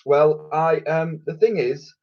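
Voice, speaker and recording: A man's voice: a little high, takes it slowly, dull audio, voice pushed up. A man voicing a timid, nervous old private who hesitates and apologizes.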